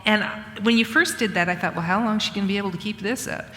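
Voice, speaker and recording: A woman pastor, interviewing in a warm, grateful, storytelling way. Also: medium pitch, fast talker. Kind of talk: conversational interview. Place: church sanctuary. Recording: roomy church reverb, faint ambience.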